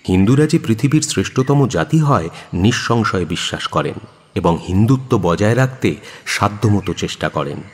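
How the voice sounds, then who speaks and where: Husky, animated male storyteller. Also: fairly low pitch, long reverb tail. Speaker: narrator. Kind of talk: dramatic scripted storytelling.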